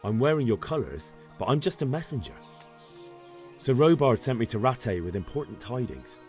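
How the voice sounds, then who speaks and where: An actor playing a determined, grieving young peasant lad in a game cutscene, earnest and stubborn. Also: male voice, medium pitch, sing-song, normal speed, darkish tone, soft background music, natural voice. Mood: urgent. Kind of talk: video game cutscene acting.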